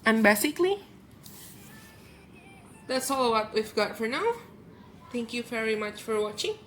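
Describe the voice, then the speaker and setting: Gentle woman card reader. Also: fairly high pitch, animated, unhurried, soft background music. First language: Indonesian. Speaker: tarot and oracle card reader. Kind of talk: channeled card reading for viewers.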